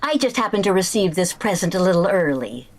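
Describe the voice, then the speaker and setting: A woman playing a flustered, scheming housewife in old-time radio comedy, expressive and exclaiming. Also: fairly low pitch, fairly quick. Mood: prim, impatient.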